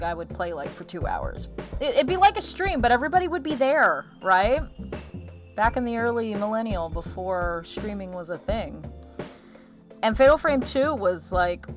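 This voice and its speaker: A woman livestreamer talks in a chatty, meandering way at a medium pitch. She has a slight lisp and often breaks off mid-thought. Soft lo-fi music plays faintly behind her. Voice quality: slight lisp from a missing molar. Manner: rambling, chatty, self-interrupting. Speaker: streamer hosting an art livestream. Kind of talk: casual livestream chat. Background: lo-fi music track.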